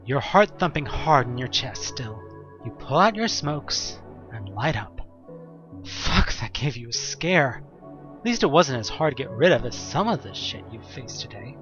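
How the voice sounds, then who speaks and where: A man's voice: medium pitch, big pitch swings, a little slow, a bit bright, highs cut off. A man narrating a second-person horror story in a theatrical, suspenseful way, with wry, casual asides. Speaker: narrator of an audio drama. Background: music.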